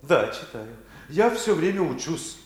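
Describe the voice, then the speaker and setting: An actor playing a principled, defiant and courteous reformer in a radio play, with theatrical delivery. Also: male voice, a little high, sing-song, a little slow, darkish tone, echoey room. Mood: warm, courteous.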